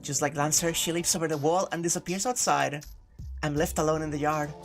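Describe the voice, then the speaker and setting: A woman with a slightly low voice narrates a visual novel playthrough. She slips into informal, jokey, sweary commentary, with game music playing behind her. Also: steady tone, fairly quick. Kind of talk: reading a visual novel aloud with casual commentary.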